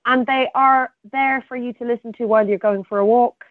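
A woman's voice: a little high, steady tone, fairly quick, dry recording. A woman being interviewed as a theatre artistic director, speaking in an enthusiastic, reflective way over a phone-like line. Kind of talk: interview conversation. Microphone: remote phone or call.

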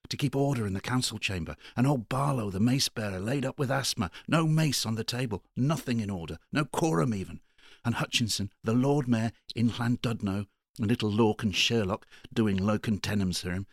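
A man's voice: mid-range voice, brisk, dry recording, pitched above the performer's own voice, natural voice. Guy doing a whiny testy little clerk man in an audiobook, voice jumps up, dry sound. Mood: pettish. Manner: plaintive, testy. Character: pettish, complaining little male town clerk with sore corns.

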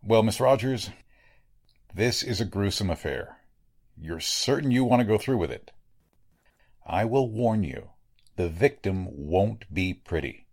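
A man's voice: lowish voice, lively intonation, a little slow, pauses often, a little mellow. A man acting a polite, evasive, grave morgue doctor in a 1940s-style radio drama, hesitant and formal. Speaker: actor in an audio drama.

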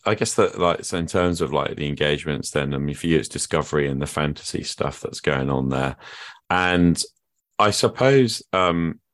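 Male podcast host, rumbling deep register, reflective and searching. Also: moderate pace. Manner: reflective, earnest musing. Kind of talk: conversational interview.

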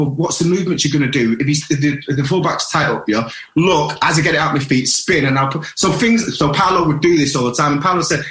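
Ex-footballer guest, rambling in a roomy room. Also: male voice, a little high, fairly bright tone. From England. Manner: earnest, rambling reminiscing with restarts. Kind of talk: casual podcast chat.

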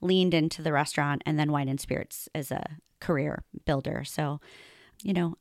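A woman's voice: deep voice, steady tone, normal speed, dry recording, clean studio sound. A woman hosting an interview over a video call, chatty, with many fillers and frequent laughs. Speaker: podcast host. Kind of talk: casual interview chat.

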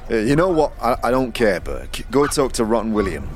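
A man acting a gruff, hostile gang guard in a fantasy audio drama, curt and dismissive. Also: medium pitch, very animated, fairly quick, a little mellow, lightly acted.

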